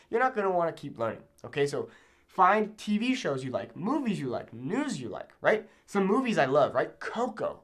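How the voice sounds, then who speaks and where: A man giving an instructional talk to camera as a language teacher, emphatic and often checking in with the learner. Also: on the high side. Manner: emphatic, checks in with "okay?" and "right?".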